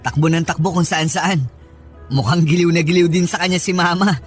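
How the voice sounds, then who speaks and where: A man's voice: on the high side, steady tone, fairly quick, faint music behind, close to an everyday voice. A man playing an affectionate young husband in a radio drama, talking in a casual, coaxing, reassuring way.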